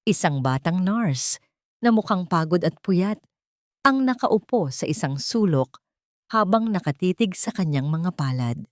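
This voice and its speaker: A woman narrating a scripted dramatic story in a sentimental, deliberate way. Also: fairly low pitch, expressive, no room sound, clean studio sound.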